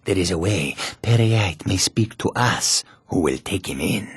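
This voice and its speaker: Fantasy game cat-man trader: very rough, breathy rasp, slow delivery, wary but courteous. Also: low-pitched, expressive, no echo, studio quality, exaggerated voice. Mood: sly, devout. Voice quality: raspy, gravelly. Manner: deliberate, formal, speaks of self in third person. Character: wary, courteous male cat-folk desert trader and guard. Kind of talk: video game character voice lines.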